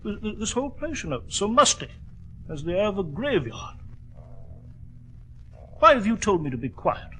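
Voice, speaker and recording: An actor playing a loyal, easily astonished English detective's companion in an old-time radio drama, excitable and questioning. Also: male voice, high-pitched, normal speed, pauses often, husky, heavily acted, rough recording. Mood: uneasy.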